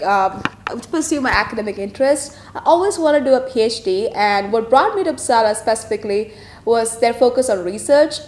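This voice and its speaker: A woman's voice: a little high, darkish tone, a little hiss or hum. Earnest woman from India, echoey room. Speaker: peace fellow. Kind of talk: interview answer.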